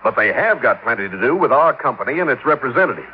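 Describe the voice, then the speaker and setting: An actor playing a fussy, placating American insurance manager with a hesitant manner, in 1950s radio drama style. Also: male voice, mid-range voice, sing-song, quick pace, close to an everyday voice. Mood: uneasy, explaining. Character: fussy, apologetic male insurance company manager. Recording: dull old radio recording, faint noise.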